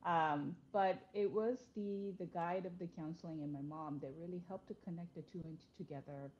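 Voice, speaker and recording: Woman in her 40s, raised in Korea, low mellow voice, slightly dull recording with faint hiss; chatty guest. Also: moderate pace. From South Korea. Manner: conversational, many fillers and restarts, laughs easily. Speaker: interior design principal, podcast guest. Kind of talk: casual interview chat.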